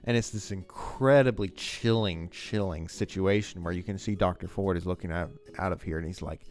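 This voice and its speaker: A man's voice: low voice, moderate pace, a little mellow, faint music behind. A man giving enthusiastic, rambling commentary on a TV scene as the host of a video essay.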